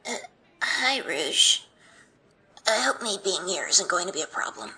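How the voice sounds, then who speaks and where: A woman's voice: lowish voice, sing-song, a little slow, sharp tone, exaggerated voice, poor audio. A woman voicing a hesitant, earnest young male fox in a fan audio drama.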